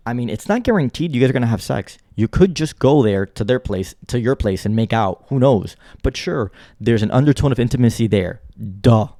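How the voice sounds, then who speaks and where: A man gives blunt reaction commentary and hammers his points home by repeating them. His voice is mellow and dark at a middle pitch. The recording is dry and close. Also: lively intonation, fairly quick, hardly any pauses, no echo.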